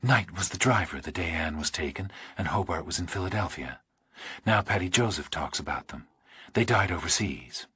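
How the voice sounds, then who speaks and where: A man voicing a serious, matter-of-fact female investigator with a husky voice in a thriller audiobook. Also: sits low, animated, normal speed, pushed and bright, dry recording, studio quality, heavily acted.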